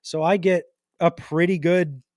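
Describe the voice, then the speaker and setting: A man co-hosting a podcast in casual chat, thinking out loud in a halting way. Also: fairly high pitch, steady tone, dry recording, studio quality. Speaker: podcast co-host.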